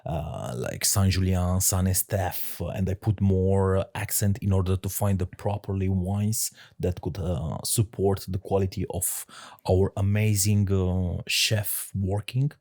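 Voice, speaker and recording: Low-voiced man, halting with fillers. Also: steady tone, a little mellow. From Romania. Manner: halting, many fillers, laughs often. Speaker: head sommelier, guest. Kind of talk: casual interview talk.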